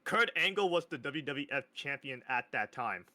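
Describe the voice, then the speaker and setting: A man's voice: medium pitch, lively intonation, moderate pace, pushed and bright, dry recording. A man in his thirties, a podcast guest chatting casually, hesitant and laughing often. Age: 30s. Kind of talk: casual chat.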